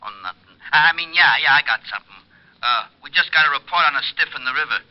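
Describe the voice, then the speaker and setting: A man's voice: a little high, lively intonation, fast talker, natural voice. Sheepish dopey desk sergeant on the phone, a man voicing him, muffled old radio. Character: slow-witted male police sergeant on the phone. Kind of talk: 1940s radio acting.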